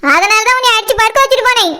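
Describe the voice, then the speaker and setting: A woman voicing a stubborn, snappy village woman in a cartoon comedy, with a Kanyakumari Tamil accent and a pitched-up voice. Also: expressive, racing, sharp tone, heavily acted. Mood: angry, defiant. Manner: snappy, dismissive, argumentative. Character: brusque, stubborn village woman, fiercely protective older sister. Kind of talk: animated village comedy dialogue.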